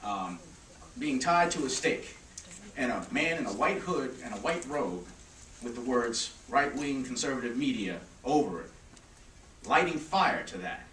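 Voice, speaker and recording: A man speaking forcefully as a panelist at a press conference, urging his listeners. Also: medium pitch, animated, a little slow. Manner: forceful, exhorting. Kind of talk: public statement. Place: press club conference room. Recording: echoey room, distant mic, faint noise.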